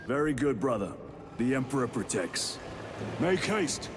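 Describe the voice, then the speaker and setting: An actor playing a grave, resolute veteran space marine lieutenant in a game, with clipped commanding delivery. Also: male voice, mid-range voice, very animated, measured pace, noisy background. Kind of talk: cinematic game dialogue.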